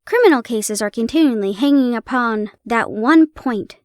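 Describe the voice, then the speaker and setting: A woman reading the part of an excitable male detective, exclaiming with fervor. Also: fairly high pitch, normal speed, no echo, clean studio sound, natural voice. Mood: triumphant. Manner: fervent, exclaiming.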